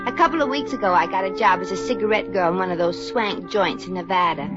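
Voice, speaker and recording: Actress as sassy Brooklyn gal, brassy wisecracks, muffled old radio, music bed. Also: female voice, mid-range voice, fast, lightly acted. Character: wisecracking Brooklyn working girl. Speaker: lead and narrator. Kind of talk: 1950s radio comedy acting.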